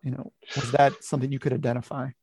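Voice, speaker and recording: A man hosting a podcast, asking conversational questions in halting, searching phrases. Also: medium pitch, level tone, a little mellow, husky, dry recording. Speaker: podcast host.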